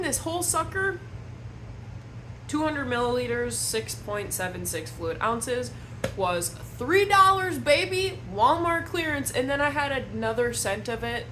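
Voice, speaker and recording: Slow-talking slightly hoarse young woman. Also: fairly high pitch, fairly bright tone, slight background noise. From Wisconsin. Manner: rambling, chatty, self-deprecating asides. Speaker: beauty vlogger. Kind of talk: casual chat to camera. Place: at home indoors.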